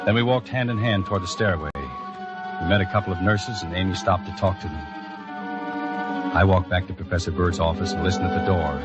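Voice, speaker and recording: A man's voice: low-pitched, a little fast, natural voice. An actor playing an agitated mental patient who insists he is innocent, in 1940s radio drama style. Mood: tense narrating. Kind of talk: 1940s radio drama acting, first-person narration. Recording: dull old radio recording with music.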